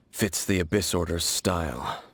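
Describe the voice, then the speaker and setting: An actor playing an aloof, world-weary male swordsman in an English game dub, speaking in a measured, serious, formal manner. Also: sits low, gravelly, breathy edge, heavily acted. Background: game music.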